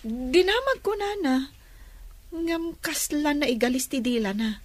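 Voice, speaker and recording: A woman's voice: high voice, expressive, a little slow, dry recording. An actress playing an earnest, stubborn young woman in love in a radio drama. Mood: insistent.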